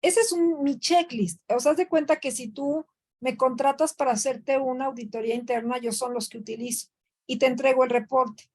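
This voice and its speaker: Instructora mexicana, voz aguda, habla coloquial con muletillas; llamada en línea. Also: female voice, sits high, steady tone, normal speed, darkish tone, breathy edge. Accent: Mexican Spanish. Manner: conversational, frequent fillers and self-corrections. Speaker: workshop instructor. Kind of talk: online training session.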